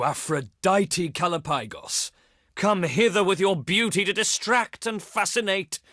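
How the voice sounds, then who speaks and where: Casting: proud Greek envoy for a strategy game, theatrical and oratorical, bright forceful tone, sweeping intonation. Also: male voice, sits high, no room sound, clean studio sound, heavily acted. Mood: weary, exasperated. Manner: pompous, oratorical, declaiming in ornate phrases. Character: proud ancient Greek diplomat, eloquent, scornful, theatrical man. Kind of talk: theatrical video game diplomacy voice lines.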